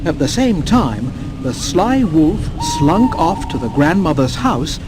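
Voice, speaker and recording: A man narrating a children's fairy tale from a script as an expressive, wry storyteller. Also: a little high, slow, background noise.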